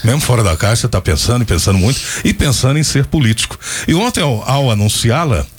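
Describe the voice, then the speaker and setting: A man's voice: medium pitch, expressive, quick pace, husky. A man in his fifties hosting a radio show, chatting casually and rambling off into stories and asides. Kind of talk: casual on-air chat and storytelling.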